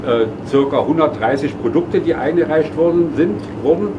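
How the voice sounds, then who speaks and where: A man's voice: fairly high pitch, moderate pace, lo-fi sound, background noise. Formal man presenting a regional award. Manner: formal, with many filler hesitations. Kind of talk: official speech.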